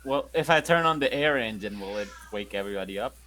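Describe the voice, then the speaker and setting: A man's voice: lowish voice, animated, fast talker, pushed and bright, a little hiss or hum. A man playing a tabletop game, asking goofy questions in a playful way. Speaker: player. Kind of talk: casual tabletop game chat.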